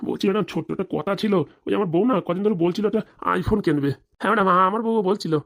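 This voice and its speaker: A man's voice: sits high, racing, fairly bright tone. A man voicing a greedy, scheming village policeman in a comic cartoon, with conspiratorial, rustic, colloquial speech. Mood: wheedling, secretive.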